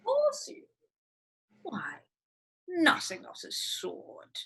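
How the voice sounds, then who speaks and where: A woman's voice: very high voice, wildly swooping pitch, very slow, fairly bright tone, full character voice. A woman playing a gloating, cackling villainous hospital doctor in a script reading. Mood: menacing.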